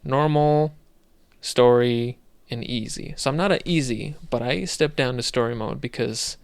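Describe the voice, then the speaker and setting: A man's voice: mid-range voice, unhurried, vocal fry, clear, resonant tone, no room sound. A man co-hosting a casual podcast, chatting loosely with many fillers and restarts. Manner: rambling, lots of fillers and restarts. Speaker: podcast co-host.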